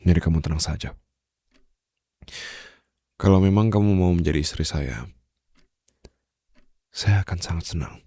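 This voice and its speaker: A man playing a smitten, suave mafia boss in an intimate ASMR romantic roleplay, teasing softly and possessively close to the microphone in a low, gravelly, breathy voice. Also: no room sound. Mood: tender, reassuring. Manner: slow, soft, flirtatious teasing with formal wording. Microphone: close studio microphone.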